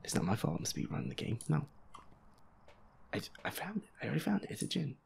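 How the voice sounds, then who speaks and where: A man playing a feisty boyfriend in a roleplay audio, muttering whiny, sweary complaints over a scary video game in a breathy half-whisper. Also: fairly high pitch, very animated, fast talker, a little hiss or hum, full character voice. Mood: exasperated, grumbling. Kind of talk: roleplay audio, improvised gaming chatter.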